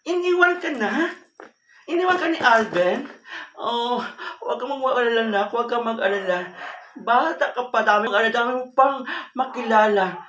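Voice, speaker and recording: A woman playing a concerned mother who gently scolds and consoles, fussing with repeated questions and reassurances in an amateur solo drama. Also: fairly high pitch, normal speed, lightly acted. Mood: consoling. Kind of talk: amateur solo monologue acting. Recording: roomy, distant microphone, faint noise and clicks.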